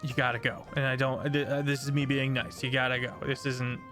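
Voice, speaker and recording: A man voicing a tired bartender in his late twenties, speaking in a hushed, halting way. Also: mid-range voice, little pitch movement, over music, lightly acted. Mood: exasperated. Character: weary young male bartender, fed up.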